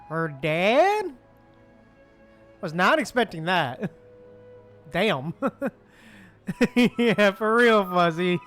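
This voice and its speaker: Slow, laid-back game streamer. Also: medium pitch, a bit bright. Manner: slangy, chuckling, laid-back. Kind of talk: casual livestream reaction chat.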